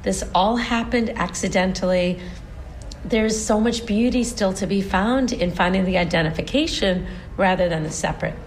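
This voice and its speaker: A woman's voice: mid-range voice, normal speed, a little hiss or hum. A woman in her forties from the United States, a stage performer being interviewed, telling her family story earnestly with lots of restarts. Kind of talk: casual interview chat.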